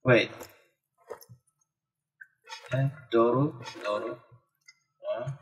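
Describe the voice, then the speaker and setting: A man's voice: medium pitch, fairly even intonation, extremely slow, a little hiss or hum, rough recording. A man giving casual gameplay commentary, exclaiming and reacting as he talks to himself. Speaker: let's play gamer. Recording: game music behind the voice. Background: video game music.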